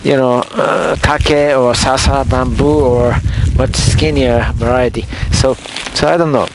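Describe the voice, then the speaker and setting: Slow-talking Japanese-accented man outdoors. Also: medium pitch, steady tone, background noise. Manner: rambling, self-correcting, chuckles often. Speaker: vlogger. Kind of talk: casual narration while working outdoors. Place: hilltop clearing outdoors in cold weather.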